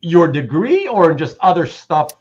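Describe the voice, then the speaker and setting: Male livestream host, higher-voiced, halting and repetitive, chatty, slightly muffled recording. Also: sing-song, a little mellow. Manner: halting, repeats words and phrases. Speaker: host of a live show. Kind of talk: casual livestream chat. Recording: dull, muffled lo-fi audio.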